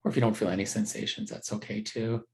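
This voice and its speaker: A man teaching a guided meditation in a gentle, unhurried, conversational way with halting pauses. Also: lowish voice, level tone, creaky.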